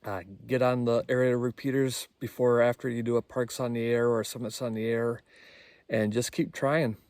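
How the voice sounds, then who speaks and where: A man's voice: mid-range voice, little pitch movement, moderate pace, a little mellow, dry recording. A man talking casually and enthusiastically in an informal vlog as an amateur radio operator.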